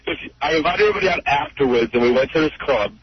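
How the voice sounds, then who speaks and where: A man's voice: a little high, lively intonation, rapid-fire, a crowd in the background. A man phoning into a talk radio show, arguing casually and defensively, often cutting in. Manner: defensive, interrupting. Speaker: phone-in guest. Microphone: telephone. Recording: muffled phone line on radio.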